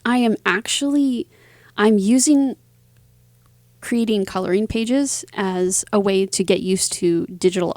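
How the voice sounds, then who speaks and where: A woman chats as a guest in a casual interview, phrasing things informally and leaning on key words. Her voice sits at a medium pitch in a dry, close recording. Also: a little mellow, no echo. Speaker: interview guest, writer and performer.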